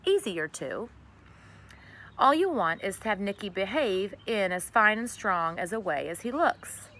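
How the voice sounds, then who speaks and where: A woman voicing a gentle, wise, reassuring neighbour lady in a children's storybook. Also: mid-range voice, big pitch swings, unhurried. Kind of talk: children's storybook read aloud.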